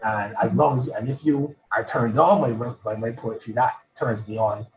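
Halting man with a dull recording. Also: medium pitch. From Barbados. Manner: halting, many restarts and repeated words. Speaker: poet guest. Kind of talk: casual interview chat.

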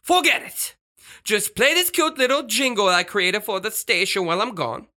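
A woman's voice: mid-range voice, normal speed, pushed and bright, lightly acted. A woman voicing a flamboyant, bossy, self-adoring radio star in a children's comedy audio drama, with a theatrical manner. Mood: exasperated. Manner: theatrical, exasperated, showy.

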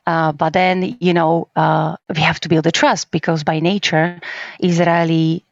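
A middle-aged Slovak woman with a low voice speaks accented English as a podcast guest. She talks lively, with many fillers and "right?" tags. The recording is dry and a bit muffled. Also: unhurried, creaky, dry recording. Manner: animated, many fillers and tag questions. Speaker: business services team leader, guest. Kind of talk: podcast interview.

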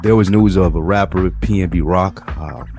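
A man's voice: low-pitched, normal speed, soft background music. A man from Tennessee hosting a podcast, chatting casually and acting out the back-and-forth of stories he tells. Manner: animated storytelling, acts out quoted exchanges. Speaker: podcast host.

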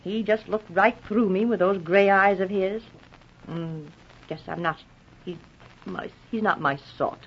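A woman's voice: mid-range voice, unhurried, breathy edge. A woman playing a hard, scheming wife in 1940s radio drama style, snappish, slangy and sardonic. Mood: cynical. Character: hard, sulky scheming wife plotting her husband's death. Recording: muffled old radio recording.

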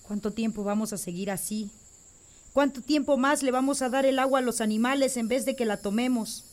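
An actress playing a young pregnant farm wife who speaks in a worried, insistent tone. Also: female voice, a little high, brisk, a little mellow, lightly acted. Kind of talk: radio drama acting.

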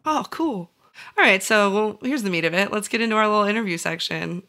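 A woman's voice: medium pitch, lively intonation, brisk, bright, forceful tone. A woman with an American accent chatting casually as a podcast host. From central Illinois, United States. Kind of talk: casual chat.

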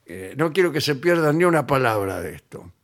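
Ironic radio host, lively pitch. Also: male voice, brisk. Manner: ironic, digressive, with hesitant fillers. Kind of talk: humorous radio monologue, reading and riffing.

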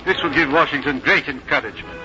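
An actor playing a calculating, conspiring officer with theatrical delivery in a radio drama. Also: male voice, fairly high pitch, fast talker, natural voice. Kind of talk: 1950s radio drama acting. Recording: dull 1950s radio recording, music behind.

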